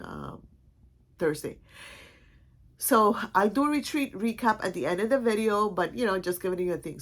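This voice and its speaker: A woman vlogger with a stuffy, congested voice, chatting casually in a rambling way full of restarts. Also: fairly low pitch. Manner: rambling, many restarts and fillers. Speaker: vlog host. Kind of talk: casual chat.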